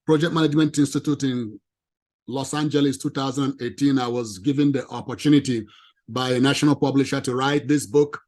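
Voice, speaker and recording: A man with a non-native English accent giving an animated, emphatic presentation to a professional audience. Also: medium pitch, fairly quick. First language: not English. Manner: emphatic, lively, addresses ladies and gentlemen.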